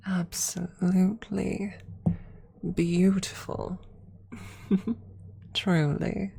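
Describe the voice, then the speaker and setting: A woman playing an affectionate, teasing female vampire lover in an intimate ASMR roleplay, speaking softly and sliding into whispers, with a husky, breathy voice. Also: on the low side, flat delivery, full character voice. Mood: playful, adoring. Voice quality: soft, breathy, husky with creak. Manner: slow, tender, lightly teasing.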